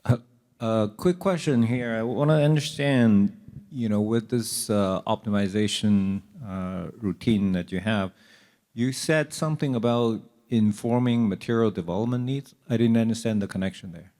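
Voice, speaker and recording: A man from the jury asking a presenter for clarification, his voice lowish and mellow. He starts hesitantly and gropes through fillers. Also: moderate pace. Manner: hesitant, many "uh". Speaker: jury member.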